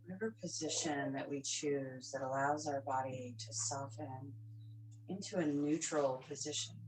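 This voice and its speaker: Woman, yoga instructor, deep voice, soft and unhurried, faint hiss behind. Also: rough recording. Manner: slow, soft-spoken, calm instructions in short phrases. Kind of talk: guided yoga nidra meditation.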